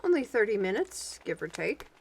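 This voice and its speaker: Cheeky adventurer female actor, medium pitch, excitable, wordy, teasing, folksy, for fantasy audio drama. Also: moderate pace, vocal fry, slight background noise. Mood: wry.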